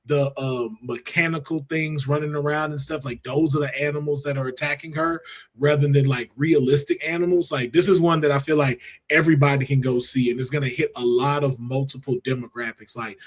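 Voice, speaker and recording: A man's voice: mid-range voice, fairly even intonation, fairly quick. A man hosting a podcast, chatting casually with informal, slangy phrasing. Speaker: podcast host. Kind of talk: casual panel podcast chat.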